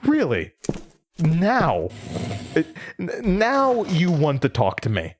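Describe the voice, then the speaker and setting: Bitter, anguished man, swinging pitch. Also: wildly swooping pitch, slow, a little hiss or hum, heavily acted. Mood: incredulous. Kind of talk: audio drama acting. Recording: music under the voice.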